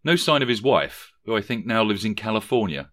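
A man's voice: fairly low pitch, very animated, a little fast, a bit bright. A man doing a chatty everyday husband.

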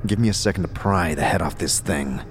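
Casting: deep-ish, blunt male fantasy adventurer, voiced by a man, dry delivery, audio drama hero. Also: a little fast, natural voice. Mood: doubtful, calm.

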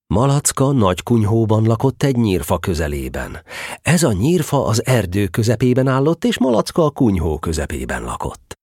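A man's voice: fairly low pitch, wildly swooping pitch, darkish tone, slightly rough, no room sound, studio quality. A man narrating a storybook audio drama, telling the tale expressively.

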